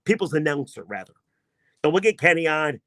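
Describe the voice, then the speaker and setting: A man hosting a sports podcast, talking in a casual, emphatic and self-mocking way, with a voice he himself calls not deep. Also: very animated, fairly quick, fairly bright tone, no room sound, studio quality. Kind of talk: casual sports commentary monologue.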